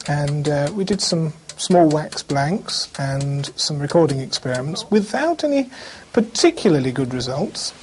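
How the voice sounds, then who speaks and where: A man with a fairly high-set voice talks through past technical work as if in an interview. His phrasing is measured and a little hesitant. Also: expressive. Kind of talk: interview recollection.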